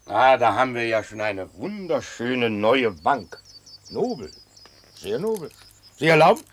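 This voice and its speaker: An actor playing a smug, officious tax inspector in a German radio drama, chatty and unctuous with false courtesy. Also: male voice, mid-range voice, big pitch swings, a little slow. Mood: smug, nosy.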